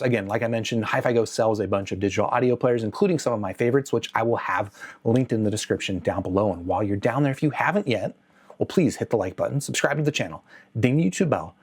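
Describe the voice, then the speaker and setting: A man's voice: on the low side, animated, quick pace, darkish tone, husky. A man presenting a casual video review as host, speaking in a conversational, opinionated and lightly joking way. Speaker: host of an audio gear review channel.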